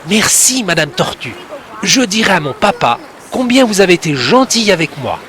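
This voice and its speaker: A man's voice: high-pitched, very animated, moderate pace, crowd noise, pitched above the performer's own voice. A man voicing a timid, polite little boy lost at sea in a storybook tale, speaking in shy, pleading tones. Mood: grateful.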